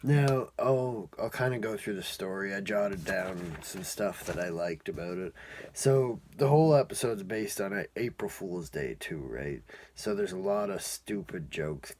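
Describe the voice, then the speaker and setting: A man's voice: low-pitched, a little slow, a little mellow. A man casually reviewing and recapping a cartoon episode in a rambling, informal, amused way.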